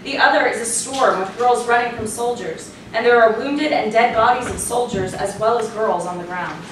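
A woman's voice: on the high side, moderate pace. Woman narrator reading stage directions, super echoey, kinda far from the mic. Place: small theatre, recorded from audience. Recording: very echoey room, distant mic, faint noise.

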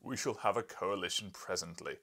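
A man's voice: deep voice, brisk, a bit bright. A man voicing a huge, domineering professor in an audiobook, pompous, mocking and emphatic. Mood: pompous, commanding. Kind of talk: audiobook character voice.

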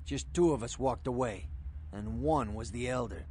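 A man's voice: mid-range voice, moderate pace, darkish tone, a little hiss or hum, lightly acted. A man voicing a street-wise, world-weary American underworld fixer, terse and matter-of-fact. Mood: matter-of-fact, guarded.

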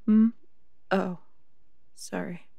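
Worried, fond woman played by an actress, audio drama. Husky, soft, unhurried delivery. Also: medium pitch, fairly even intonation, no room sound, highs cut off, heavily acted. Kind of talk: roleplay audio drama acting.

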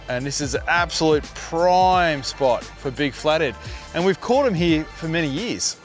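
A middle-aged man with an Australian accent giving casual, matey instructional fishing commentary outdoors on a boat. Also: a little high. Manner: informal, matey, explanatory. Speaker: fishing show host. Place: outdoors on a small boat on open water.

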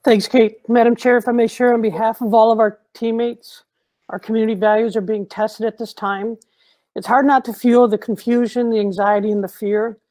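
Middle-aged man, district spokesman, formal and measured, mellow tone, earbud mic. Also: high-pitched, rough recording. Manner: measured, formal, rhetorical lists. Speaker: district community relations director. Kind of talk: prepared closing statement. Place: home room with curtains. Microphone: earbuds on video call.

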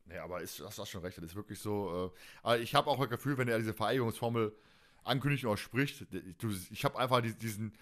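A man's voice: on the low side, quick pace, fairly bright tone. A man hosting a podcast in casual, colloquial, jokey chat. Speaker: podcast host.

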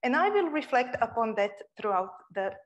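Woman from Croatia, young adult, public lecture, earnest and expressive, fairly high voice. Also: moderate pace, lo-fi sound. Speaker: guest speaker. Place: lecture room.

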